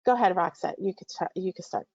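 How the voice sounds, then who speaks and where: A woman in her forties moderating a youth panel in a gentle, measured, supportive way, on a video call. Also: on the low side. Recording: muffled lo-fi online audio.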